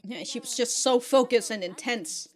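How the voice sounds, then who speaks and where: A woman's voice: fairly high pitch, moderate pace. A woman giving casual, slangy reaction commentary as a video host, exclaiming and swearing freely.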